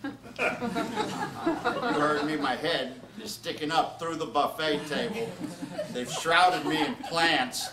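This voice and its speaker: Male author, mid-pitched, comedic live reading with informal asides; echoey room recording with clear background noise. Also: fairly even intonation, takes it slowly, long pauses. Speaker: author reading from his own book. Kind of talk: comedic storytelling, reading aloud to an audience. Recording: roomy, distant, noisy live event recording.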